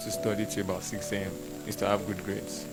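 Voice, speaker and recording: A man's voice: on the low side, little pitch movement, fast, over loud music. A man interviewing a student, repeating and rephrasing his questions.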